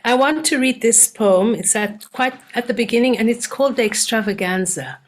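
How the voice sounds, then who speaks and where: An older woman from Uganda, a storyteller reading her own poem aloud in a soft-spoken way. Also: fairly high pitch. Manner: soft-spoken, unhurried, breaks into chanted and sung verses. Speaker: storyteller and poet.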